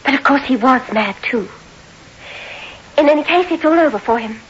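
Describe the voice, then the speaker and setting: An actress playing a shaken, grieving widow in an old radio drama, earnest and dramatic. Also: female voice, high voice, animated, normal speed. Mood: subdued. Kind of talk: 1960s radio drama acting. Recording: muffled, hissy old radio recording.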